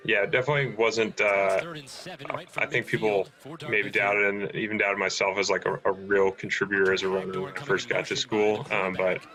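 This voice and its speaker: A young man, a former quarterback, reminiscing casually in a relaxed, hesitant way with fillers. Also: fairly low pitch, moderate pace, poor audio.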